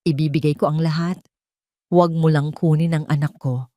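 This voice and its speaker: A woman's voice: sits low, steady tone, fairly quick, warm tone, no room sound, studio quality, lightly acted. Woman acting a despairing, pleading Filipino mother. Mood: desperate pleading. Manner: pleading, surrendering. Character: despairing, frightened young mother in a romance drama.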